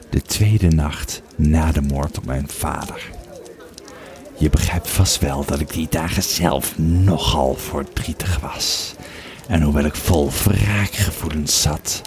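A man playing a grieving Roman legionary who tells his memories haltingly and reflectively in an audio drama. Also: a bass voice, gravelly, full character voice. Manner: halting, reflective storytelling with searching pauses. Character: grieving, fearful Roman legionary recalling his army days. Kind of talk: audio drama first-person narration.

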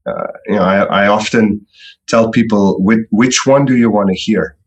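A middle-aged man from Belgium, a guest telling his story in a podcast interview, relaxed and repeating words often. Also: on the low side, normal speed, dull audio. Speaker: entrepreneur guest.

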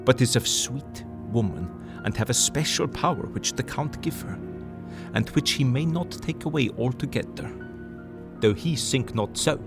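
A man's voice: medium pitch, lightly acted. A man playing a grave old professor. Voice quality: formal, halting broken English. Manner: urgent, earnest, drops to hushed tones. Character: older male professor, grave and commanding. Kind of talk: audio drama acting. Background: music.

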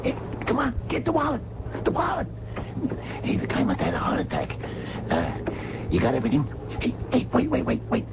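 An actor playing a bossy, jumpy young mugger in a radio drama, stammering out excitable, menacing tough talk. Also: male voice, extremely high pitch, brisk, long pauses, heavily acted. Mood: gleeful, urgent. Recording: dull lo-fi radio recording with loud noise.

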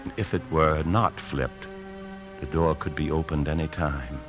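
A very deep, confiding American man's voice tells of a bank theft from the inside. He is anxious and tightly wound, in classic 1950s radio drama style, with music on the recording. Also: fairly even intonation, a little slow. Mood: tense narrating. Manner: tense, confiding. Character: anxious male bank officer secretly stealing a fortune. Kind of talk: 1950s radio drama acting with first-person narration.

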